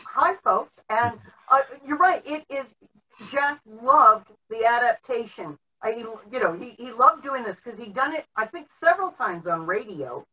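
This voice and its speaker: A middle-aged woman explaining background informally, haltingly, with many filled pauses, on a video call. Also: a little high, expressive, pushed and bright, husky. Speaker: panelist giving background on old TV history. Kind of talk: informal explanatory podcast talk. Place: home room, indoors, on video call. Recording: distant mic on video call.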